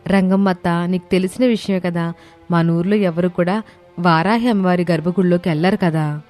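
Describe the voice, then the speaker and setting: A woman voicing an anxious, caring village woman in an animated moral story. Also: fairly low pitch, normal speed, voice pushed down, natural voice. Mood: hesitant. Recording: music behind the voice.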